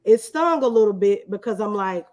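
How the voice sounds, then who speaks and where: Woman, interview guest, mid-range pitch, casual conversational storytelling. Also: expressive, moderate pace, darkish tone. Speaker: interview guest, small business owner.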